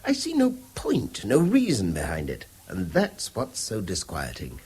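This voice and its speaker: An actor playing an exasperated middle-aged schoolteacher in a radio drama, articulate and clipped, with an educated English accent and a husky voice. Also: male voice, fairly low pitch, huge pitch swings, measured pace, darkish tone, full character voice. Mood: troubled, polite. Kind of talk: 1970s radio drama acting.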